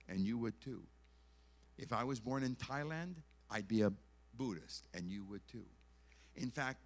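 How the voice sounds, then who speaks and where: A man's voice: fairly low pitch, vocal fry. An older man, a concert pianist, giving an earnest, pleading testimony at a church concert and repeating his phrases. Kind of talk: evangelistic testimony from a stage.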